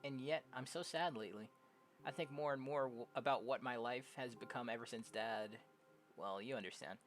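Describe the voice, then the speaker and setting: A young adult game streamer casually reading visual novel dialogue aloud, jokey and prone to loud exclamations. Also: mid-range voice, moderate pace. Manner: animated, jokey, exclaims loudly. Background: game music.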